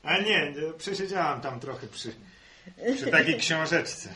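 Lively, earnest young farmer. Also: male voice, a little high, measured pace, heavily acted. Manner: hesitant, earnest, repeats words. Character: earnest, curious grown farmer son. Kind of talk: 1960s Polish radio serial acting.

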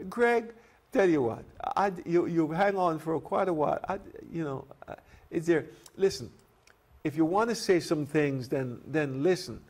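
Gravelly, husky middle-aged man hosting. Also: on the high side, lively intonation, darkish tone. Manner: halting, repeats phrases. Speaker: live show host. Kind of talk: live call-in talk. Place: small TV studio set.

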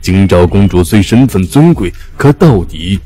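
An ancient immortal master in a fantasy audio drama. His voice is very low and soft-edged, full of an old man's grumbling and fretting, with a playful streak. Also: animated, a little slow. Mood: wry, worried. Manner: sighing, grumbling.

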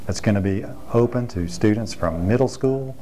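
A man's voice: on the low side, moderate pace, warm tone. Upbeat man promoting an art contest. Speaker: exhibit organizer, comic co-creator. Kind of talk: promotional interview. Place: art gallery indoors. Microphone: lapel mic. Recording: light music behind.

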